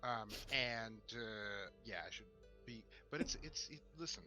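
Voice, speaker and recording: Very bright-voiced man, halting delivery. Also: mid-range voice. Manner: halting, thoughtful phrasing. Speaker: podcast co-host. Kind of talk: casual discussion. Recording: dull lo-fi mic, music behind.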